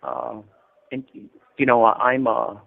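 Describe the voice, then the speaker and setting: A man's voice: medium pitch, little pitch movement, unhurried, gravelly. American man in his fifties, phone line. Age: 50s. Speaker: incarcerated interviewee calling from prison. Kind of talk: casual phone interview, recounting experiences. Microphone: prison telephone. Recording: tinny narrow-band telephone line.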